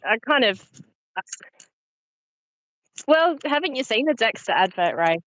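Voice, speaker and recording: A woman's voice: fairly high pitch, very animated, a little slow, fairly bright tone, no echo, rough recording. A woman from South Australia with a South Australian accent, chatting and laughing on a livestream while she walks outdoors through a cemetery. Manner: chatty and giggly, reads out viewer comments. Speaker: livestream host. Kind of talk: casual livestream chat walking a cemetery.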